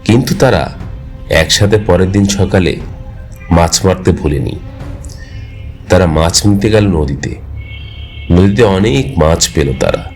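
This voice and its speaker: Low-voiced man narrating over music. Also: animated, a little slow, long pauses, darkish tone. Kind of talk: scripted story narration.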